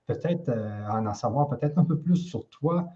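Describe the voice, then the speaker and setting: A man with a Quebec French accent opens and guides an online conference in an easy, informal way, leaning on fillers. His voice is dark and mellow, and the call audio sounds dull and muffled. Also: medium pitch, expressive, normal speed. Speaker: host.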